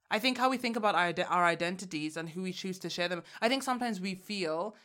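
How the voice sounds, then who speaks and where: A woman's voice: fairly low pitch, a little fast, fairly bright tone. A woman from Uganda, co-hosting a podcast in casual chat, talking candidly and thinking aloud with fillers and restarts. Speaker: podcast co-host.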